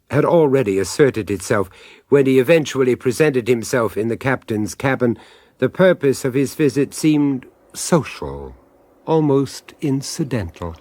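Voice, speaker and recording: A man giving formal, measured scripted narration for a radio drama. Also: medium pitch, a little mellow, no echo, studio quality.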